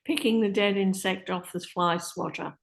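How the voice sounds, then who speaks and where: A woman's voice: mid-range voice, steady tone, normal speed, gravelly. Female narrator, mid-range voice, scripted stage directions, play reading.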